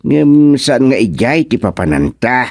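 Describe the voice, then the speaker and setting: A man's voice: mid-range voice, very animated, a little slow, a little mellow, dry recording, clean studio sound. An actor playing a stubborn, reassuring older fisherman father with an Ilocano accent in a radio drama comedy. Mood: casual, decided.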